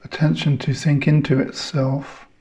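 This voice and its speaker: A man leading a guided meditation in measured short phrases, leaving long pauses between them. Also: on the high side, little pitch movement, a little mellow.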